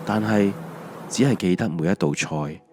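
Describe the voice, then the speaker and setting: Low-voiced Hong Kong man narrating over music. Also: normal speed. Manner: reflective, wry storytelling. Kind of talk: scripted autobiographical audio drama narration. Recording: music under the voice. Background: background music.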